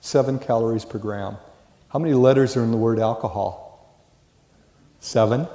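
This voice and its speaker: A man's voice: mid-range voice, moderate pace, warm tone. An older man with an American accent lecturing to a class, folksy and full of rhetorical questions. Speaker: college lecturer. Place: large indoor classroom or lecture hall. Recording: echoey lecture hall with long reverb.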